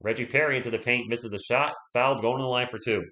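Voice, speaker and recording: A man doing casual play-by-play for his team, hoarse as his voice gives out. He chats with viewers between calls. The room around him has a noticeable echo. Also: medium pitch, steady tone, quick pace, sharp tone. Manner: chatty, reads viewer comments aloud, rooting for the Spurs. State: losing his voice. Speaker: live stream play-by-play host. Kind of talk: casual fan play-by-play commentary.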